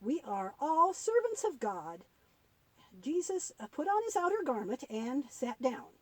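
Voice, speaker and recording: Woman doing high voiced old granny puppet, patient, telling kids bible stories, kinda halting, very up and down. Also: sing-song, dry recording, poor audio. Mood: gently instructive. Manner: halting, gently instructive storytelling. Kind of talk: puppet-show storytelling for children.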